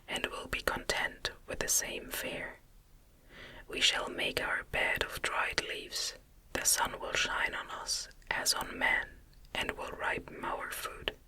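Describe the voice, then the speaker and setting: A woman voicing a lonely, eloquent male monster in a gothic storybook reading, imploring earnestly and formally in a breathy whisper. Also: slow pace, bright, forceful tone. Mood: persuading, hopeful.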